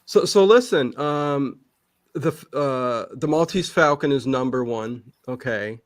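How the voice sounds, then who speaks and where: A man's voice: mid-range voice, lively intonation, no echo. Rambling, emphatic man in casual chat, dry sound. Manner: rambling and halting, often backtracks and repeats words. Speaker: interview guest.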